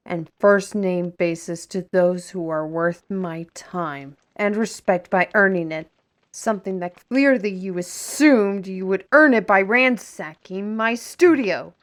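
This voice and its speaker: A woman's voice: medium pitch, close to an everyday voice. A woman playing a defiant, sardonic young artist in a fantasy audio drama, with a pointed, sarcastic delivery. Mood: cold, defiant.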